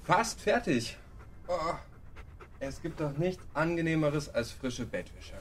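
A man's voice: fairly high pitch, animated, slow, slight background noise. An actor in a German radio drama playing a cheeky young man who talks in a playful, teasing way. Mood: provocative.

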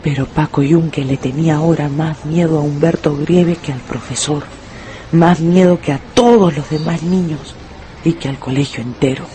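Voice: medium pitch, steady tone, moderate pace. A narrator delivering a scripted, dramatic story for a radio play.

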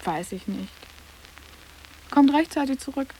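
A young woman playing a guarded, sarcastic teenage schoolgirl in a radio drama, answering in clipped, deadpan phrases. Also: sits high, measured pace, rough recording. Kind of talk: literary radio drama acting.

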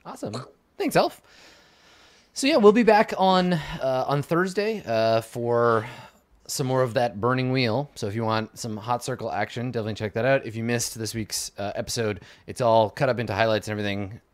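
A man's voice: mid-range voice, moderate pace. A man hosting a live tabletop game stream, chatting casually and upbeat with plenty of filler words. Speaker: host.

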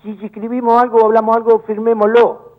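A high-pitched Argentine man on a tinny phone line, heated and indignant. He stumbles, repeats words in quick bursts and pushes his point hard. He comes across as a business chamber director defending himself in a call-in interview. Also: level tone. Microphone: telephone.

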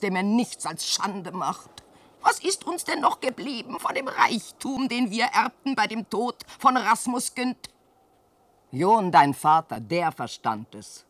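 A long-suffering old peasant mother, nags and wails in theatrical radio-drama verse. Her delivery is slow and drawn out, low for a woman, and swings widely in pitch. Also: a bit bright, dry recording. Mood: lamenting. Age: older. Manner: declamatory, nagging and wailing, dramatic swings.

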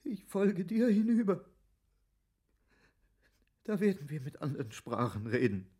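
A man's voice: fairly high pitch, sing-song, a little slow, mellow, husky, heavily acted. A man playing a grief-stricken nobleman in love, impassioned and theatrical, in a literary radio play. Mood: desperate pleading. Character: grief-stricken romantic nobleman, despairing lover.

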